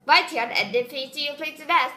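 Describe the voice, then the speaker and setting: A woman voicing an eager, pizza-loving girl in a kids' cartoon who is quick to blame. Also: high voice, bright, forceful tone. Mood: defensive.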